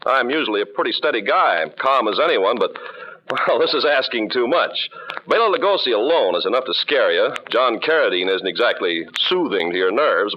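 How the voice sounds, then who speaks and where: A man's voice: medium pitch, slight background noise. A man announcing a radio show in scripted 1940s style. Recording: muffled old radio recording with loud music.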